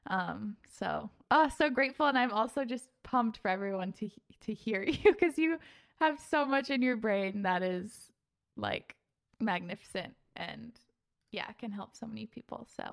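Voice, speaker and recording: A woman's voice: on the high side, a little slow, gravelly. A woman in her twenties hosting a podcast, talking in a bubbly, gushing way with lots of laughter and fillers. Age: 20s. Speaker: podcast host. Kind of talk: casual podcast chat.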